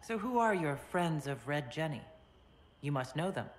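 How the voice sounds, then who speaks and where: A woman voicing a composed, questioning warrior leader in a video game, measured and direct. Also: low voice, expressive, slight background noise.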